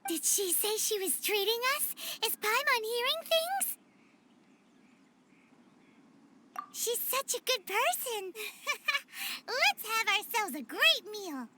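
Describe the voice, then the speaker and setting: A woman voicing a tiny, childlike, cheeky fairy companion in a video game, squeaky and perky. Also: sing-song, takes it slowly, background noise, exaggerated voice. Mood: delighted. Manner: refers to herself in third person, perky. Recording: loud game music behind.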